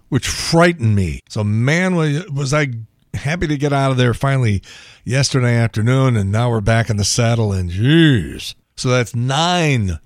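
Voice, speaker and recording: Man, American accent, medium pitch, gravelly thinning voice, wry, colloquial, solo podcast commentary, very dry acoustics. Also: no room sound. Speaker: podcast host. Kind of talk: casual solo monologue on news and sport.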